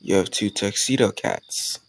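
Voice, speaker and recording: A man playing an easygoing male college student who chats casually and teases gently in an intimate romantic audio roleplay. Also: on the low side, wildly swooping pitch, measured pace, gravelly, full character voice, rough recording. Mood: friendly, teasing. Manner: casual, conversational, gently teasing.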